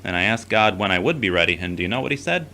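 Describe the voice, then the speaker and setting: A man's voice: lowish voice, expressive, rapid-fire, creaky, bright, forceful tone, full character voice. A man playing a conflicted, earnest soul who wrestles with God and himself in an audio drama. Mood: somber, earnest. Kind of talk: scripted audio drama acting.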